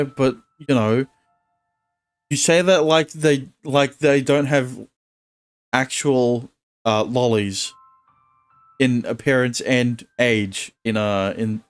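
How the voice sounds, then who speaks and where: A male streamer who reads visual novel narration aloud in a steady tone and chats casually between lines. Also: medium pitch, clean tone.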